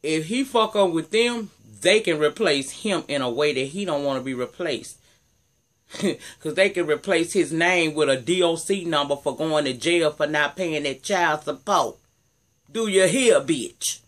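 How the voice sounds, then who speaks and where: Deep-voiced guy doing a sassy reality tv recap, cussing a lot, kinda high for a man, going up and down a lot. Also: a little slow, a bit bright. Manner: sassy, profane, talks straight to viewers with "girl" and "child". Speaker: TV recap vlogger. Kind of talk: casual episode recap and commentary.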